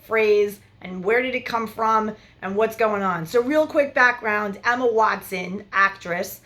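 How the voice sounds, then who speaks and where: A woman in her forties, a love coach giving frank, animated big-sister advice straight to camera. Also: medium pitch, unhurried. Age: 40s. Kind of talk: direct-to-camera advice vlog.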